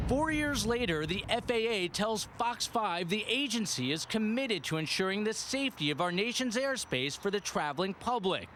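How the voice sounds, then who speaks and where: A man's voice: high-pitched, fairly bright tone, slight background noise. A man with an American accent reading scripted investigative television news narration as a reporter.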